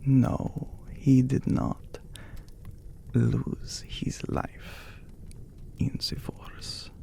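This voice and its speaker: A man playing an ancient, courteous, brooding vampire count in an ASMR roleplay, speaking in a breathy, gravelly hush with formal phrasing and long pauses. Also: medium pitch, little pitch movement, full character voice. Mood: somber, haunted. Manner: slow, formal, hushed, with long dramatic pauses. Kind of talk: ASMR romantic roleplay, scripted character acting.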